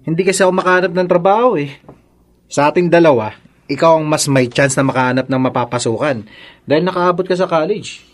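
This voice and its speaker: Man acting feckless young husband, fairly high voice, easygoing, casual, radio drama. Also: fairly quick, lightly acted. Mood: breezy, agreeable. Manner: casual, breezy.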